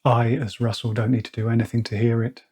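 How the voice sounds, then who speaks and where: A man leading a guided meditation, speaking slowly and softly with long pauses. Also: medium pitch, level tone, breathy edge. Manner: slow, soft-spoken, with long pauses. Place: outdoors or by an open window with birds nearby.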